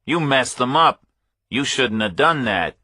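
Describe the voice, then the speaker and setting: A man's voice: medium pitch, animated, a little slow, bright, forceful tone, slightly rough, no room sound, studio quality, voice pushed up, exaggerated voice. A man voicing a menacing, smug armed villain in a thriller audiobook, commanding and taunting. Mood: smug, controlling.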